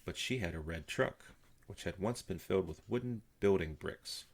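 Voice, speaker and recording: An older man reading a children's story aloud in an animated storytelling style. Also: low-pitched, moderate pace, husky. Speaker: storybook reader.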